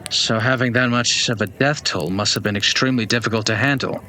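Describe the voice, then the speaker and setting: Bright-voiced, curious male listener, acted. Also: medium pitch, fairly even intonation, a little fast, natural voice, poor audio. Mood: curious, thoughtful. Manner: inquisitive, earnest. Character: curious, earnest male listener to old legends. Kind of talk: fantasy audio drama acting.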